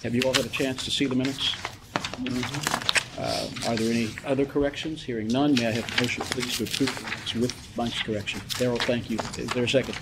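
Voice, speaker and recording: A man's voice: mid-range voice, fairly even intonation, fast. An American man chairing a formal meeting, courteous and procedural, with hesitant phrasing. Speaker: board chairman. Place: large conference room with long table. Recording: loud background noise and clicks.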